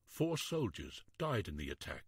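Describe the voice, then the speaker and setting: A man reading a history storybook aloud in a measured, expressive, scripted narration style. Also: low voice, breathy edge, no room sound, clean studio sound.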